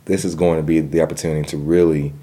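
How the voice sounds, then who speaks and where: A man's voice: a bass voice, little pitch movement, fast talker, dark tone. A young man telling his personal story in an interview, earnest, with a stutter and repeated words. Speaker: guest.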